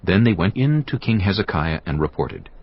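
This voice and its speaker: A man narrating scripture from a script in a solemn, measured manner. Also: deep voice, sing-song, breathy edge, no room sound, highs cut off.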